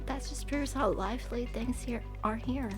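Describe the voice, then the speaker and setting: A woman voicing a lively, carefree young female musician from a game, chatty and upbeat, for a fan dub. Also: on the high side, moderate pace, music in the background, natural voice.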